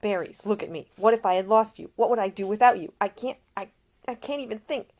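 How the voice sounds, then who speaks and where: A woman's voice: mid-range voice, brisk, darkish tone, pitched above the performer's own voice, natural voice. A woman voicing an ailing, fiercely protective man in love, emotional and insistent, as audiobook character dialogue. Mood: anguished, pleading.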